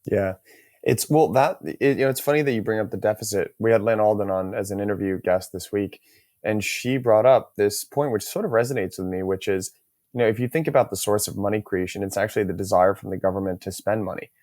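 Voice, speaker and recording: Guy hosting a finance pod, lowish voice, dry room, thinks out loud. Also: dry recording. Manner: measured, hedging, thinks aloud. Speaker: podcast host. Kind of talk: casual market chat.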